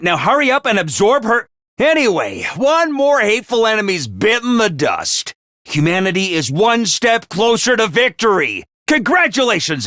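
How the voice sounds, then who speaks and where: A chipper commanding officer of young soldiers sounds high, bright and sharp, with a bouncy, lively lilt. He rallies with drill-sergeant cheer that hides a cold, ruthless streak. The studio sound is dry and close. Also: no room sound, full character voice. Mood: explaining. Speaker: voice actor for a video game character. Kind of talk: game character voice lines.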